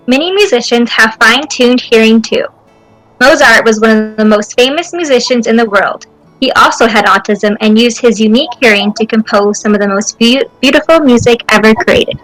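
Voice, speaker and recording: A woman's voice: a little high, normal speed, poor audio. A woman reading a children's picture book aloud. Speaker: reader. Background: soft music.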